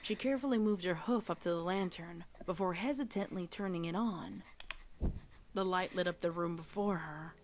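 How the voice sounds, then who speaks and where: A woman's voice: on the low side, moderate pace, a little hiss or hum, close to an everyday voice. A woman reading a horror story as a narrator, in a dramatic scripted style. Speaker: narrator of a fan fiction audio reading. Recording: music behind the voice. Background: music.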